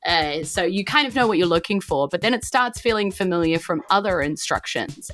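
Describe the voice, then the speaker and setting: A woman's voice: on the low side, a bit bright. A woman with a New Zealand accent improvising narration as game master of a tabletop game, in an informal, loosely descriptive way.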